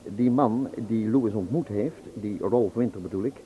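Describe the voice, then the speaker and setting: An actor playing an unruffled, matter-of-fact husband in a Dutch radio play. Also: male voice, on the low side, normal speed, close to an everyday voice. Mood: assured. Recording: muffled, narrow old radio recording, faint noise.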